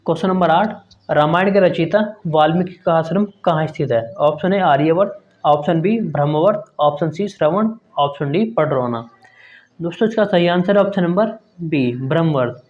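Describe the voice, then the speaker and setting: Guy doing exam quiz prep, voice a bit high, kinda dull lo-fi audio. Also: moderate pace, a little mellow. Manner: reads out options, keeps addressing viewers as friends. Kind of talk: quiz-style exam coaching lesson. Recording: dull lo-fi sound.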